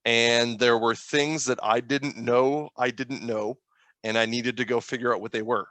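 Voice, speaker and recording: A man in his thirties speaks as a panelist in an informal webinar. His voice sits at a middle pitch, and the sound is dry with very little room in it. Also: steady tone, normal speed, pushed and bright, no echo. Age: 30s. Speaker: graduate student panelist.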